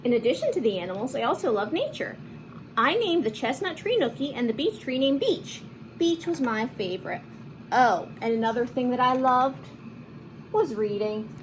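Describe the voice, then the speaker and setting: A woman reading a picture book aloud to children in an expressive, animated manner, with chatty asides and simple explanations. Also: high-pitched, moderate pace, a little hiss or hum, rough recording. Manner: animated, acts out lines and explains words to children. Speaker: children's read-aloud host.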